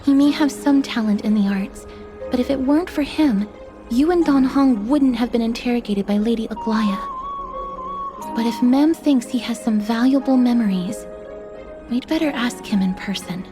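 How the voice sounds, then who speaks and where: A polite young woman companion in a video game, voiced by an actress, speaks in a measured, earnest manner. Her voice sits a little high and sounds inquisitive and composed, with clear music in the background. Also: natural voice. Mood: wary, curious. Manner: courteous, measured. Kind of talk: video game character acting.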